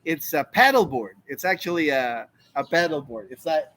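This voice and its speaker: A man's voice: fairly high pitch, expressive, normal speed, a bit bright. A man in his fifties, from the United States, hosting a casual live Q&A stream and rambling through answers to chat questions. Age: 50s. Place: home in the Philippines.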